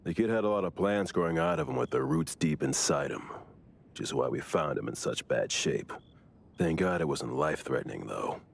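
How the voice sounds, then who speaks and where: A stern, businesslike squad member in an anime game. He is low-pitched and gravelly, with a dry, unimpressed delivery that wastes nothing. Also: animated, moderate pace, heavily acted. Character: stern, matter-of-fact male squad member. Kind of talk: anime video game voice acting.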